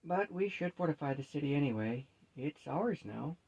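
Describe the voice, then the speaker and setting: A man's voice: on the high side, animated, normal speed, creaky, darkish tone, breathy edge, dull audio, full character voice. A man voicing a sad gnome with a subdued, gloomy manner in a storybook reading. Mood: somber.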